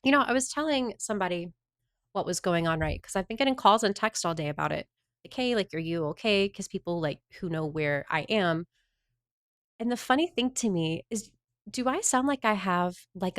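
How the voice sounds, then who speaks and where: A woman's voice: on the low side, lively intonation, normal speed, clean tone, no echo, studio quality. A woman from the Southern United States chatting casually on a livestream, rambling and answering her viewers' chat messages.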